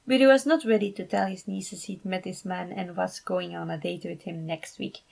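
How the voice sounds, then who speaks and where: A woman's voice: lowish voice, steady tone, normal speed, gravelly, a little mellow. A woman reading scripted fan fiction aloud as its narrator.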